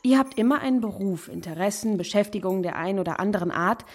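Husky, earnest gentlewoman played by an actress. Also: medium pitch, quick pace, natural voice. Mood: earnest, moved. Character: Anne, mature, reflective, quietly feeling gentlewoman. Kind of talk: German radio play acting, period literary drama.

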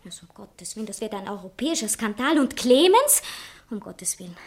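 A woman's voice: medium pitch, sing-song, a little fast. An actress playing a proud, impulsive society woman writer in a satirical radio play, theatrical, by turns coquettish and indignant. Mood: alarmed.

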